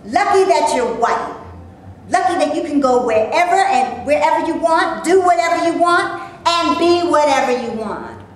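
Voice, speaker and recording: Lady reading a fed-up actress who snaps back, high lively voice, echoey hall. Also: moderate pace. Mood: exasperated. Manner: cutting, exasperated retorts. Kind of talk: staged play reading. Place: theater stage before a live audience. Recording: very echoey stage, faint room noise.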